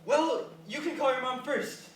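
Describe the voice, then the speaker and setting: A man's voice: extremely high pitch, a little slow, fairly bright tone. A man in a staged reading, playing a nervous, reluctant caregiver who stammers and sounds apologetic but sensible. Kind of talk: staged reading of a dramatic comedy. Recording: echoey room from far off, faint noise.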